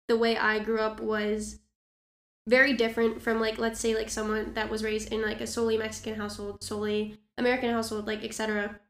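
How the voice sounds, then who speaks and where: A young woman chats casually in an echoey room. Her voice is a little high but very level in pitch, with noticeable vocal fry. Her phrasing is informal and halting. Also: a bit bright. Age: young adult. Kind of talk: casual chat.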